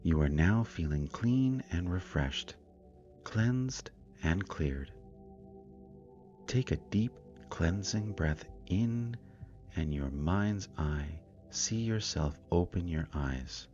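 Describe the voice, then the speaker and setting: A man narrating a scripted guided meditation in a calm, soothing, deliberate manner. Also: sits low, lo-fi sound. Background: meditation music with singing bowls.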